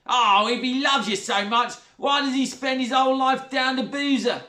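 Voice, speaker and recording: Man playing a shrill, brash stepmother. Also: sharp tone, distant mic. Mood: furious. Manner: shrill, brash, drawn-out oohs. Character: loud coarse spiteful middle-aged stepmother. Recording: roomy echo.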